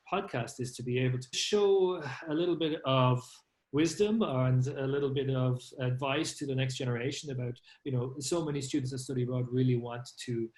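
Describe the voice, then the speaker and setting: Man hosting in an echoey room. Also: mid-range voice. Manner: rambling, hesitant with fillers. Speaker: podcast host and CEO. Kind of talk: podcast interview.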